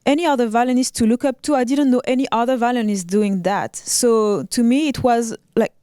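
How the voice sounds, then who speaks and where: A young woman with a French accent, a violinist chatting as a guest in a casual interview, soft-spoken with frequent ums and restarts. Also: fairly high pitch, brisk, no echo, studio quality. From Reunion Island, raised in Paris.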